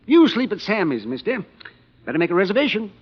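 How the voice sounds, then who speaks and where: A folksy rural American gas station hand in an old-time radio play, chatty and nosy. His voice sits high and bounces around in pitch, through dull vintage sound. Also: very animated, fast talker, slightly rough, full character voice. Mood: folksy, wry. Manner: folksy, clipped, chuckling banter. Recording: muffled old radio recording.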